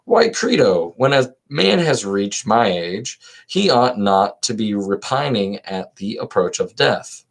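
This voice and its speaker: A man reading the part of a calm old philosopher resigned to death, composed, formal and questioning. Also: lowish voice, slow pace, creaky, hardly any pauses, heavily acted.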